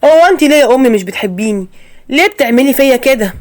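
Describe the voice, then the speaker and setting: A woman with an Egyptian accent voicing a young husband, earnest and insistent, in her own fairly high voice. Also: fast talker, close to an everyday voice. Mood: shocked. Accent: Egyptian Arabic. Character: young husband, shocked and resolute.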